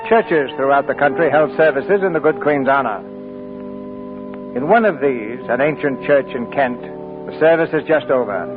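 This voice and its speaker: A man narrating and announcing a radio drama in a smooth, formal style. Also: medium pitch. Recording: tinny old radio broadcast, faint music.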